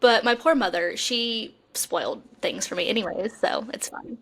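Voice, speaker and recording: A woman's voice: mid-range voice, moderate pace, fairly bright tone. A young woman co-hosting a podcast, talking in a casual, conversational style. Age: young adult. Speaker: podcast co-host. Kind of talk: casual chat.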